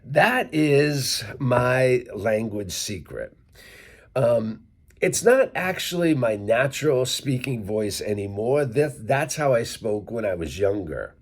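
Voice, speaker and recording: A man's voice: mid-range voice, expressive, takes it slowly. A middle-aged man from Worcester, Massachusetts, with a light local accent, explaining English sounds casually to his viewers and spelling out words step by step. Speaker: English teacher vlogger. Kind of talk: casual teaching talk to camera.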